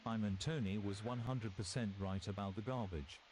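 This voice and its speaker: A man's voice: lowish voice, fairly even intonation, normal speed, darkish tone, slight background noise. A synthetic-sounding man's voice reading out a donation message as text-to-speech.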